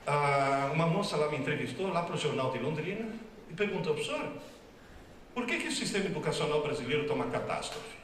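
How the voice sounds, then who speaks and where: A male professor lectures with wry, teasing emphasis, his voice a touch high for a man. He sounds far from the mic, ringing through a very echoey hall with faint background noise. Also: expressive, a little fast, a bit bright. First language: Italian. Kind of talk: humorous public lecture. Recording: distant, very echoey hall recording.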